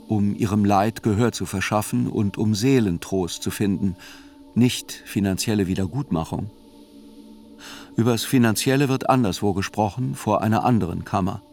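Radio play chronicler: low, matter-of-fact, deliberate, literary narration over soft music. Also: male voice. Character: sober male court chronicler narrating a terror trial. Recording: music under the voice.

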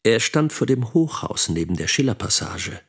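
An actor playing a brooding middle-aged husband in an intimate radio drama, speaking in terse, reflective fragments with a husky voice. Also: male voice, medium pitch, big pitch swings, moderate pace, a bit bright, heavily acted. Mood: brooding, subdued.

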